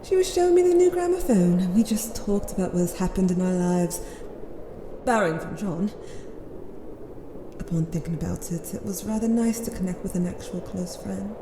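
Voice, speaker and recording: A woman's voice: mid-range voice, animated, normal speed, natural voice. A woman playing a bitter, envious Victorian writer in an audio drama, with a dramatic, volatile delivery. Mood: docile, weary. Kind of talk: theatrical audio drama monologue and dialogue. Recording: reverberant, with loud ambient noise.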